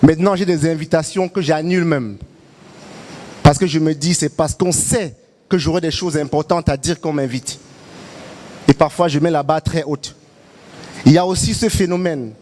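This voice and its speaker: A man's voice: fairly high pitch, normal speed, long pauses, darkish tone, slight background noise. Emphatic activist man at a debate. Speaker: activist panelist. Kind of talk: public debate remarks.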